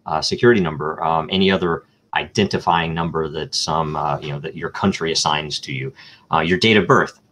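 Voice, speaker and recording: A man with a very low voice explains a technical idea as an interview guest. He thinks aloud, often filling pauses with ums and uhs and restarting his sentences. He works through concrete examples one step at a time. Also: steady tone, fairly bright tone. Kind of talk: live online interview answers.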